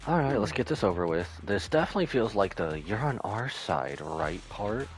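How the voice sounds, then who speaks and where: A man's voice: on the low side, very animated, normal speed, soft background music. A man giving casual, joking and exclamatory reaction commentary as a gaming streamer.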